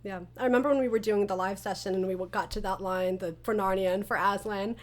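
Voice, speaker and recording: Female, medium pitch, chatty creator-host in a relaxed cast interview, animated storyteller. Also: fast. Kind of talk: casual interview chat.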